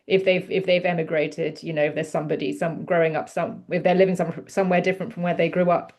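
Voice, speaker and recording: A woman's voice: fairly low pitch, little pitch movement, fast, darkish tone. A woman psychotherapist interviewing a guest, feeling her way through questions with restarts. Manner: searching, restarts mid-question.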